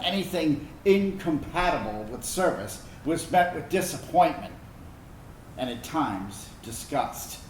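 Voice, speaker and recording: Actor as bitter grown military kid, echoey. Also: male voice, medium pitch, natural voice. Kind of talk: dramatic monologue in a staged reading. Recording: echoey room, faint background noise.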